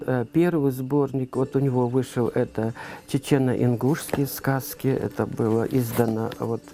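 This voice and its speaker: An interviewee from Ingushetia giving unscripted commentary, searching for words in a halting way. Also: medium pitch, fairly even intonation. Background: faint background music.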